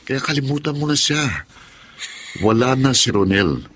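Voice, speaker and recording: A pushy young Filipino suitor voiced by an actor with a medium-pitched voice. He is insistent, coaxing and domineering, as in radio drama, over faint background ambience. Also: lively intonation, normal speed, background noise, natural voice. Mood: persuasive. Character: pushy, menacing suitor, a tricycle driver. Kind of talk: Tagalog radio drama acting.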